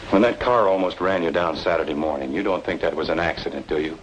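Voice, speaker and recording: Low-voiced, brooding film noir man played by an actor. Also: fast, muffled recording, natural voice. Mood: tense, resolute. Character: cool, brooding, secretive noir man with a dangerous plan. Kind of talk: old-time radio noir acting. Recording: old radio drama, background noise.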